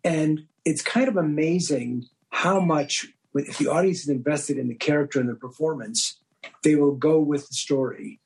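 Guy being interviewed, voice a bit high and raspy, explains things at length. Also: moderate pace, darkish tone, low-quality recording. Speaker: podcast guest, audio drama director. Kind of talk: conversational interview answers.

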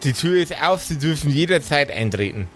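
A man's voice: fairly high pitch, lively intonation, brisk, faint music behind, close to an everyday voice. A man playing a stern, officious policeman in a tabletop game, formal and condescending. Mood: stern, reprimanding. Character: stern, officious policeman scolding young troublemakers.

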